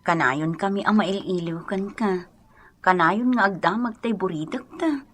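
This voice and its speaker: A woman's voice: medium pitch, unhurried, fairly bright tone, slight background noise, natural voice. A woman with an Ilocano accent playing an affectionate, concerned wife in a radio drama. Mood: caring.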